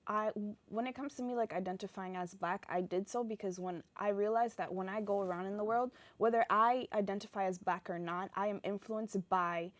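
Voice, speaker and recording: A woman's voice: mid-range voice, fairly even intonation, brisk, hardly any pauses, no room sound. A young woman from the United States, a guest explaining her view in a thoughtful, earnest way. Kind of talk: casual discussion.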